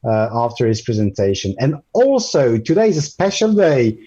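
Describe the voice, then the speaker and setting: Male presenter, French native speaker, mellow tone, stop-start delivery, muffled call audio. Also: mid-range voice, very animated, moderate pace, husky. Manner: hesitant, many ums and restarts. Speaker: guest presenter. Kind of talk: live screen-share tutorial.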